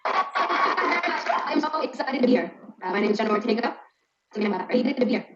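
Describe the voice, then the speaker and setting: Brief: young woman in her twenties, bright higher voice, excited intro lines, roomy dull clip. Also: moderate pace, slight background noise. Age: 20s. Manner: excited, repeated takes of an introduction. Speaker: show host clip. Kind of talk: audio clip played for lip sync.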